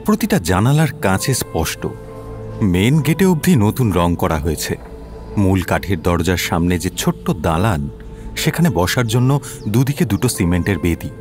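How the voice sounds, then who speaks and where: A man's voice: sits low, darkish tone. A man narrating a scripted detective story as its narrator.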